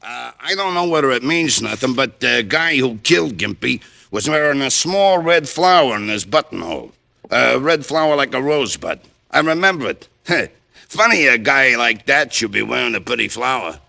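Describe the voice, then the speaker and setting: An actor playing a gruff, cagey American bartender in an old-time radio detective drama, talking in blunt street slang. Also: male voice, medium pitch, expressive, moderate pace, sharp tone, no echo. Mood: matter-of-fact. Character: gruff, cagey tough-guy bartender in a dive bar.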